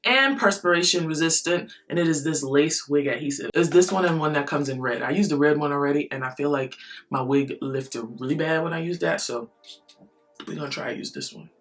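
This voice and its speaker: A woman beauty vlogger who just woke up, chatting to camera with a low morning voice in a rambling, playful way. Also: a little fast. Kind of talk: casual chat to camera.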